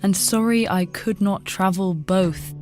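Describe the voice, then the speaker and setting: A woman reciting a poem with measured, deliberate phrasing. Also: medium pitch, darkish tone. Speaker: poetry reader. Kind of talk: poetry recitation. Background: music.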